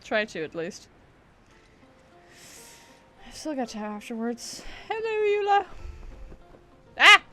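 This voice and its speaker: Bright-voiced, animated woman streamer. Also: on the high side, slow, long pauses. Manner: playful, easily distracted, reactive. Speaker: game streamer. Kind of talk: casual live-stream gameplay commentary. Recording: faint game music behind.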